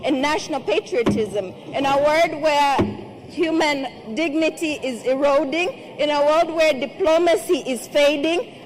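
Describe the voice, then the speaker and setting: A woman with a Tanzanian accent giving a formal political speech, solemn and oratorical, with emphatic repetition. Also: sits high. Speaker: member of parliament, candidate. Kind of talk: formal patriotic campaign speech. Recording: noisy parliament chamber microphone.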